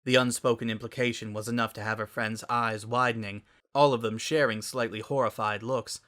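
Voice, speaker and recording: A narrator reads a scripted story in a dramatic style. The voice is bright and forward, at a medium pitch. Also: steady tone, brisk.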